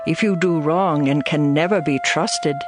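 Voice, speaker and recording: Stern Wisdom, slow-spoken woman. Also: low voice. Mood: solemn, instructive. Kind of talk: dramatized scripture reading. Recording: music behind the voice.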